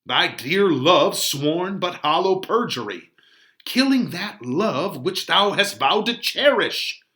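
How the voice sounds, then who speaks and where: A man's voice: sits high, big pitch swings, takes it slowly, pushed and bright, exaggerated voice. A man voicing an exasperated holy friar who scolds in declamatory Shakespearean verse. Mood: exasperated scolding. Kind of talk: dramatic Shakespeare reading.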